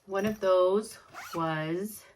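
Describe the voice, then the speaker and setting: A woman's voice: medium pitch, drawn-out words, darkish tone, a little hiss or hum. Congested woman chatting about stitching. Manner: chatty, apologetic, rambling with fillers. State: congested, stuffed up. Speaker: cross-stitch vlogger. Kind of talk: casual chat.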